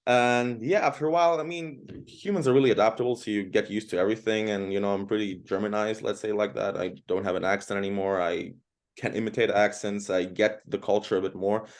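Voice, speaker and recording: A man's voice: fairly low pitch, fairly even intonation, fairly quick. A man from Croatia in his thirties, a guest in an interview, talking casually and at length with many fillers. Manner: chatty, rambling, frequent fillers.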